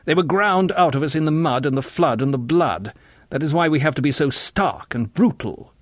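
A man's voice: a little high, lively intonation, normal speed, dry recording, clean studio sound, voice pushed up. A man voicing pretentious, scornful young intellectuals in an audiobook, with affected disdain. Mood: self-pitying.

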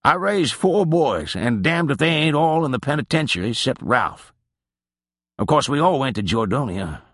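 A man voicing a weary, wry old Southern drinker with a drawling dialect, his voice raised higher and louder than his own. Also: big pitch swings, moderate pace, no room sound, clean studio sound. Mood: rueful. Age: older.

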